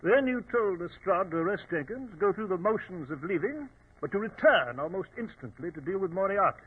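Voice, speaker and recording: High, husky gentleman sidekick, radio. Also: animated, fairly quick. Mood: curious, puzzled. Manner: earnest, questioning, gentlemanly. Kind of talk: 1940s radio acting. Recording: tinny old radio recording.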